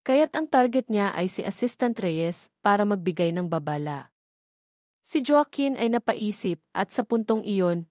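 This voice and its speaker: A woman narrating a scripted love story in a steady, even reading voice. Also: mid-range voice, no echo, studio quality.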